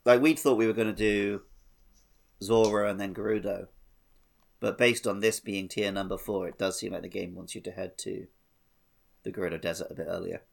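A man's voice: low voice, steady tone, normal speed, creaky. A man co-hosting a podcast, chatting casually about a video game. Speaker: podcast co-host.

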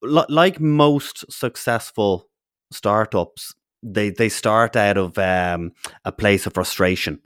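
A man's voice: lowish voice, slow pace, a bit bright, no echo, clean studio sound. A man hosting a podcast interview, asking long, loosely phrased questions. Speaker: podcast host. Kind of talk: interview host and ad read.

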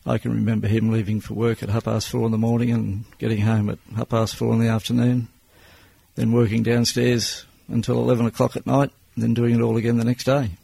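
A man in his sixties with an Australian accent, answering interview questions as a business owner in a plain-spoken, measured way. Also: mid-range voice, flat delivery, gravelly, dark tone, slightly rough, poor audio. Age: 60s.

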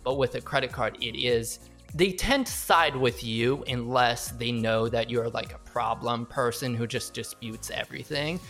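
A man hosting a casual interview and speaking in a measured, conversational way. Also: mid-range voice, fairly bright tone, soft background music.

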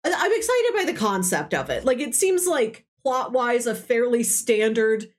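A woman's voice: a little high, sing-song, measured pace. A woman in her early thirties co-hosting a movie podcast, chatting casually about films. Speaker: co-host of a movie review podcast. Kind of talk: casual chat, movie discussion.

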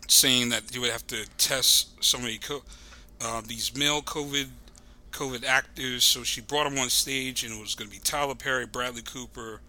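A man with a very bright, forceful voice at a medium pitch. He talks like a podcast guest in casual conversation, wandering through a story with digressions. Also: fairly even intonation, moderate pace. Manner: rambling, digressive.

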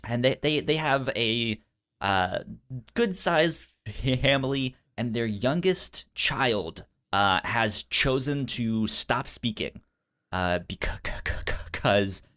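A man co-hosting a podcast with casual film commentary, halting and stuttering on repeated sounds and words. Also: medium pitch, lively intonation, pushed and bright, clean tone. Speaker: podcast co-host.

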